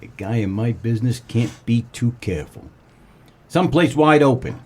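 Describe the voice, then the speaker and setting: An American man playing a world-weary, wisecracking 1940s private eye in a comedic noir radio play, with deadpan melodrama. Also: mid-range voice, moderate pace, lightly acted. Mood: brisk, deadpan. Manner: deadpan, melodramatic tough-guy delivery.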